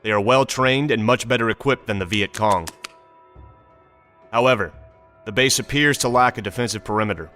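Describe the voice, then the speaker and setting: Forceful American army briefing officer. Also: male voice, on the low side, normal speed, pauses often, soft background music. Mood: stern, businesslike. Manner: clipped, authoritative, commanding. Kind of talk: scripted video game mission briefing.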